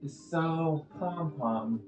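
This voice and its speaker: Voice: medium pitch, very slow. A game streamer giving casual, playful commentary full of silly jokes over gameplay. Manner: goofy, playful. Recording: echoey, muffled audio with faint music.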